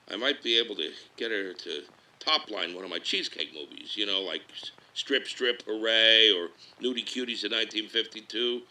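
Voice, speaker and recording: A very bright, hard-edged male voice acted by a man plays a sleazy B-movie producer in an audio drama. He is lowish in pitch and brash, spinning his story in crude, wisecracking mid-century showbiz slang. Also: rough recording. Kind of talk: documentary-interview style monologue, mid-century showbiz slang.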